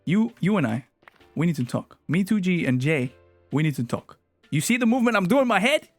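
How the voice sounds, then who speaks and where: A man's voice: sits high, brisk, no echo, soft background music. A young man whose first language is Spanish, chatting casually and playfully with his live-stream chat. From Latin America. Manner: animated, playful, teasing with chat.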